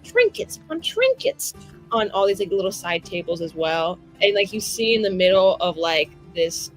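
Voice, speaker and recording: A woman improvising narration as a tabletop game master, informal and halting as she pieces descriptions together. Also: mid-range voice, big pitch swings, soft background music, low-quality recording.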